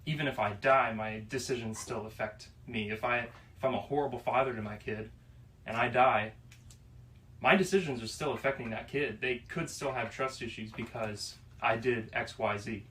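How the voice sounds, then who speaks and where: A man in his twenties from Florida, musing slowly on faith and choice in a casual discussion. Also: mid-range voice, fairly bright tone. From Florida, USA. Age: 20s. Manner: slow, reflective, pauses mid-thought. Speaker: podcast guest. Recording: distant mic, faint room noise.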